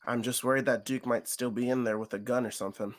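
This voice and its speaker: A man voicing a cautious, protective young male friend in a visual novel, hesitant and low-key. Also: fairly even intonation, quick pace, close to an everyday voice. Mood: tense, resolved. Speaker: co-reader voicing a character on stream.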